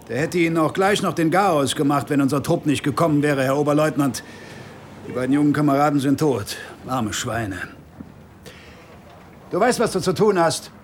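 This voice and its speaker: An actor playing a gnarled, crafty army sergeant in a German radio drama, with a clipped, deferential military manner. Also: male voice, on the high side, slight background noise, lightly acted. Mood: brisk, formal, then gruff, commanding. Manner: military, clipped, matter-of-fact.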